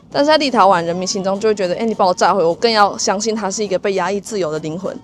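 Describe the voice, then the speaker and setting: Lady doing travel vlog voiceover, explains history stuff, bit of music behind. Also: mid-range voice. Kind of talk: informative travel narration, explaining local history. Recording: light music under the voice.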